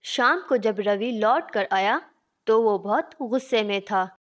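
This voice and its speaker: A woman's voice: medium pitch, slow. A woman narrating a scripted story as a storyteller.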